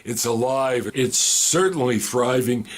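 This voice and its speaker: A man's voice: mid-range voice, takes it slowly. A man giving an emphatic resident testimonial, firmly waving away doubt. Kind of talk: promotional testimonial.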